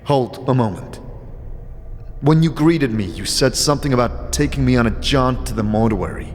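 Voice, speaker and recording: An actor playing a grave, guarded undead wanderer in a fantasy audio drama, with a terse, skeptical manner. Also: male voice, mid-range voice, moderate pace, lightly acted. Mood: guarded, questioning. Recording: long reverb, clearly noisy ambience behind.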